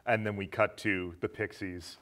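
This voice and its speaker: A man's voice: lowish voice, fairly even intonation, normal speed, a bit bright. A man in his thirties talking casually about a film as co-host of a discussion show. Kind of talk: casual movie discussion, retelling a plot. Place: small indoor studio set with curtains.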